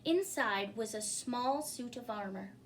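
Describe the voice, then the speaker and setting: A woman reading a children's picture book aloud as a story-time host, in an expressive manner. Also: fairly high pitch. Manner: expressive, pausing to ask listeners questions. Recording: clearly noisy recording.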